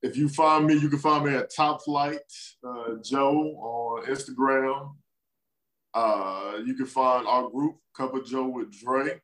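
A man's voice: medium pitch, steady tone, a bit bright, rough recording. A man whose first language is Spanish, joking around as a podcast guest and teasing his hosts. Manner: teasing, playful, quick comic asides.

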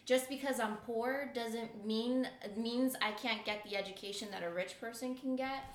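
A woman's voice: a little high, fairly even intonation, moderate pace. A woman hosting a podcast, talking casually and supportively with plenty of likes and you knows. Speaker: podcast host. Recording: echoey room.

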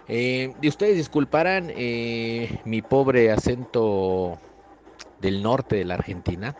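A man's voice: mid-range voice, slow pace, darkish tone, lo-fi sound. A male podcast host with a Mexican accent, giving a casual, chatty introduction with slangy asides.

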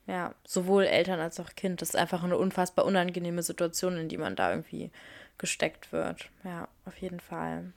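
A woman hosting a podcast, asking her guest questions in a relaxed, casual way. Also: fairly low pitch, moderate pace, creaky. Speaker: podcast host. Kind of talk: casual interviewing.

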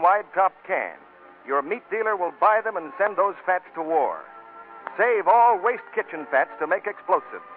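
An American man announcing from a script in 1940s radio style, on a narrow vintage broadcast recording. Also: high voice. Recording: dull, band-limited 1940s radio recording with music. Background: music.

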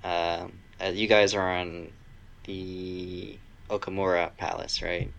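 A man co-hosting a podcast in casual chat, with a deadpan, dryly joking manner. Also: low-pitched, slow pace, gravelly, highs cut off, a little hiss or hum. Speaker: podcast co-host.

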